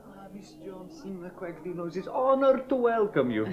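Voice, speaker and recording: A man's voice: high-pitched, big pitch swings, normal speed, muffled recording. An actor playing an obsequious male waiter in a radio play, formal and deferential. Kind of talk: 1970s radio drama acting.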